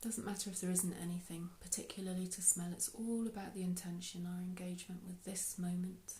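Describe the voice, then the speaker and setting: A woman leading a guided meditation in a soft, unhurried, soothing way, with phrases trailing off into breath. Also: fairly low pitch, level tone, slight background noise. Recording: roomy, echoey sound.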